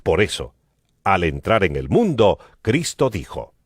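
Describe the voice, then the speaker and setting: A man's voice: deep voice, wildly swooping pitch, measured pace, husky, no room sound, studio quality. A man giving a solemn, emphatic dramatized narration of scripture as a Bible narrator. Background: music.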